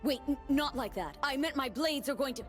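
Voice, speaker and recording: Woman voicing a high-pitched, blustering young fighter. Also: a little fast, music in the background, natural voice. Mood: flustered bluster. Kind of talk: video game voice acting.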